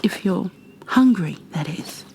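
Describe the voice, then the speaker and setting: A woman playing a kind, flustered young bookkeeper in a fantasy ASMR roleplay, speaking softly and breathily, often near a whisper, with gentle hesitations and apologies. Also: fairly low pitch, expressive, slow, gravelly, a little hiss or hum, heavily acted. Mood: worried, caring. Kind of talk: ASMR roleplay, addressed to the listener.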